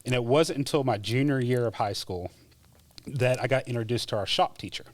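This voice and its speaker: A man, a former EMT, telling his life story in a relaxed, chatty way as a podcast guest, with frequent fillers and laughs. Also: medium pitch, a little fast. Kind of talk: casual interview storytelling.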